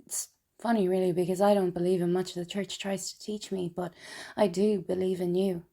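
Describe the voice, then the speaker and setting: A woman acting a melancholy, wryly funny and tipsy character in an intimate, halting theatrical monologue. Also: fairly low pitch, little pitch movement, dark tone. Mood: wistful, tender. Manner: halting, intimate, dramatic pauses. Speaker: stage actor performing a solo monologue. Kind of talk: confessional theatrical monologue, spoken as a letter.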